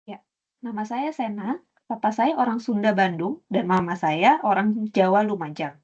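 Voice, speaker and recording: A woman from Bogor giving a class presentation, calm with a few hesitant fillers. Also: mid-range voice, normal speed, dull audio. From Bogor, West Java. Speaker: student presenter.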